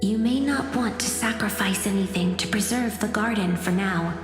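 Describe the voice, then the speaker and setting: An actress voicing a serene, mysterious messenger woman in an anime game, solemn, formal and measured. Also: mid-range voice, natural voice. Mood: calm, grave. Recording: echoey long reverb, loud music.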